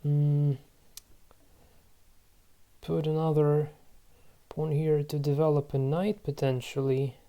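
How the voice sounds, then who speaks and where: A man in his thirties giving casual commentary while playing a board game online, musing aloud to himself in a halting way. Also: a little high, flat delivery, dark tone. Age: 30s. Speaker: channel host playing online board games.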